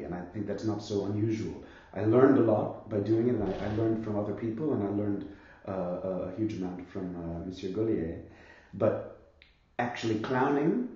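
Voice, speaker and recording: A husky man in his fifties with a cold speaks in a low, mellow voice, weighing each thought and circling back as he goes. The sound is muffled and heavily echoey, like a stream from a bare room. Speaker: guest, actor and theatre director. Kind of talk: reflective conversation about his career.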